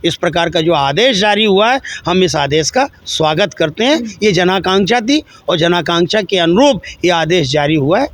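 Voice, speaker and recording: A man with a notably high voice speaks as a religious leader giving a statement. He is earnest and exhorting, often repeating phrases to drive them home. The sound is very dry, with no room echo. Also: expressive, moderate pace, hardly any pauses, fairly bright tone. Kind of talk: interview statement, speech-like.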